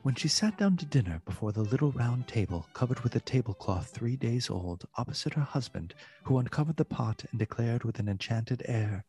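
A man acting as the solemn narrator of a gothic radio play, measured and formal. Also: mid-range voice, lively intonation, mellow, natural voice. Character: solemn storyteller of a gothic tale. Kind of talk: dramatic literary narration. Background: music.

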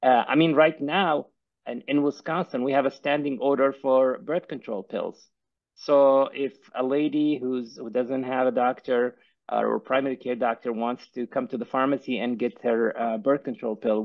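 Gravelly-voiced man on a headset video call. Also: mid-range voice. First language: Arabic. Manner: earnest, restarts and repeats words often. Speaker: pharmacist and pharmacy owner, guest. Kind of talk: conversational interview. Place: office. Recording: dull lo-fi video call audio.

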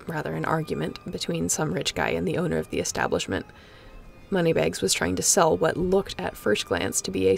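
A woman playing a guarded, wry spaceship captain in an intimate sci-fi audio roleplay, with a gravelly voice and dry, teasing, conversational delivery. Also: fairly low pitch, a little mellow. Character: guarded, wry female spaceship pilot captain, softening toward an old friend.

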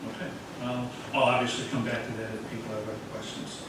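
A man giving a halting, wordy presentation as an official at a public meeting. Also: mid-range voice, level tone. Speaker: town official presenting a project to a board. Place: municipal board meeting room, indoors. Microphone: distant camera microphone. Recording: echoey meeting room, distant mic, clear noise.

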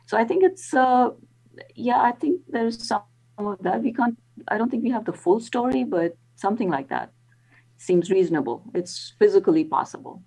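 A woman's voice: fairly high pitch, lively intonation, normal speed, creaky, warm tone, rough recording. A woman in her sixties, a planetary scientist, explaining carefully on a video call with frequent ums and restarts. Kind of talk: explanatory interview answers.

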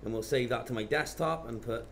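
A man's voice: medium pitch, brisk, fairly bright tone. Man presenting, noticeable background noise. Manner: matter-of-fact, talking through steps as he clicks. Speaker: presenter of product tutorials. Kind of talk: informal software demonstration. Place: indoor office.

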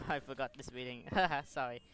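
A man casually commenting on a game playthrough and reading aloud in a halting, stumbling way. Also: mid-range voice, steady tone, background noise. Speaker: gaming streamer reading along.

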